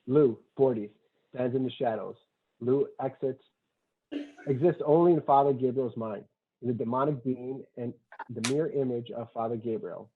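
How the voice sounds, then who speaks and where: A man's voice: medium pitch, normal speed, husky. A man narrating a screenplay's stage directions in a plain, matter-of-fact way. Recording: muffled, dull lo-fi sound.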